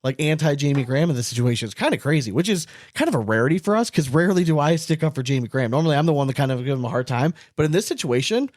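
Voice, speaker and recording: A man co-hosting a casual sports podcast, talking in an animated, rambling way and swearing freely for emphasis. His voice sits a touch high for a man. It sounds dry and close on the mic. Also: rapid-fire, few pauses, no echo. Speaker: podcast co-host.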